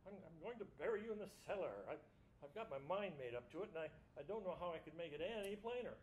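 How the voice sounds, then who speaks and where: A man plays a whiny, hapless husband in a comic stage play. He wheedles and justifies himself peevishly in a fairly high voice, tripping over his words. The live recording has a faint rumble behind him. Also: very animated, fast, dull audio. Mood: sulky, insistent. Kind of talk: comic stage dramatization of a short story.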